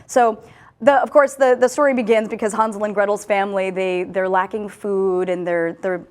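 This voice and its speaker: A woman hosting a casual online news discussion, talking in an animated way and reading quotes aloud with a lift in her voice. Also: mid-range voice, normal speed. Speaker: host.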